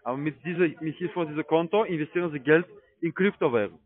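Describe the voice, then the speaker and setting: A man with a foreign accent, a non-native speaker, pushing an insistent, questioning sales pitch. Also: quick pace. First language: not German. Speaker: telesales investment agent. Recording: narrow, dry phone line.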